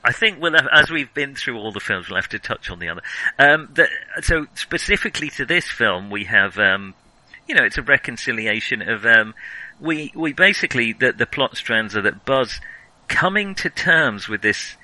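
A man's voice: mid-range voice, animated, moderate pace, bright, forceful tone, dry recording, low-quality recording. A man hosting a casual film discussion, thinking aloud and often rephrasing mid-thought. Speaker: podcast host.

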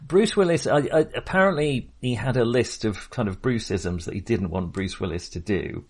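A man with an average-pitched voice talks casually about films as a podcast co-host. He rambles a little and hesitates, working out his thoughts as he speaks. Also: normal speed, husky. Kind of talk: casual film discussion.

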